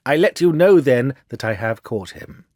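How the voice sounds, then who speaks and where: A man voicing a shrewd, self-assured gentleman detective for a storybook, with a precise, theatrical, lecturing manner. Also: mid-range voice, sing-song, moderate pace, no room sound, clean studio sound. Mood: triumphant, suave.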